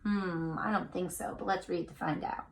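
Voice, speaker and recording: A woman reading a picture book aloud to children, speaking to them directly with animated, drawn-out emphasis on key words. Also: lowish voice, creaky, slight background noise. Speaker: read-aloud storyteller for children.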